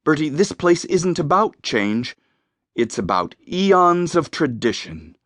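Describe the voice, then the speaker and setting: A man playing a reasoning, tradition-minded theater manager in a full-cast audiobook, measured and placating. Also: fairly high pitch, very animated, a bit bright, no room sound, clean studio sound, full character voice.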